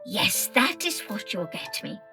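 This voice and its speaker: Woman voicing a sharp, haughty, screechy storybook magpie. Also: mid-range voice, big pitch swings, soft background music. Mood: demanding. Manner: imperious, fussy, drawn-out complaining. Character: haughty, demanding, ungrateful male magpie. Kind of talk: children's storybook character voice.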